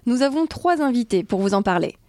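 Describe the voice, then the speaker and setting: A woman from France co-hosting a travel podcast in a bright, upbeat way. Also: on the high side, lively intonation, a little fast, dry recording, clean studio sound. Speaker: podcast co-host. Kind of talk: scripted travel podcast talk.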